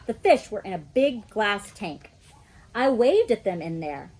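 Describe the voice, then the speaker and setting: A woman reading a children's story aloud in an expressive storytelling style, like a teacher. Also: medium pitch, measured pace.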